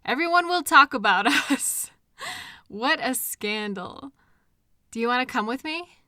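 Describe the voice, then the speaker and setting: Bright, unhurried caring girlfriend played by a woman. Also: high-pitched, no echo, clean studio sound, exaggerated voice. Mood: lighthearted, chatty. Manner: tender, expressive, with soft pauses and questions. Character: caring, gently indignant woman comforting her upset partner. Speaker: audio roleplay performer. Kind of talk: intimate comfort roleplay audio, speaking to the listener. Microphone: close microphone in a dry room.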